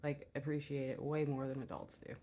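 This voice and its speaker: Soft-spoken low-voiced woman reading tarot. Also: fairly quick, a little hiss or hum. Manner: rambling and meandering, many filler "uh"s; relaxed and low-key. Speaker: tarot reader.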